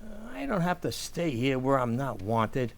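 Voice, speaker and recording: Man acting a bumbling husband with swooping pitch. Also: big pitch swings, a little slow. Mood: hurt, stalling. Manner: hesitant, flustered, sheepish bluster. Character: bumbling henpecked family man, blustering but soft-hearted. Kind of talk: old-time radio comedy acting.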